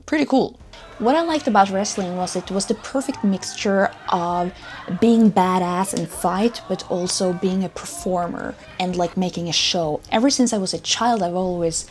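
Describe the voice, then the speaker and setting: A woman's voice: fairly low pitch, normal speed, darkish tone, slight background noise. Upbeat Norwegian-accented young woman. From Norway. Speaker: vlogger. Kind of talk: scripted personal narration.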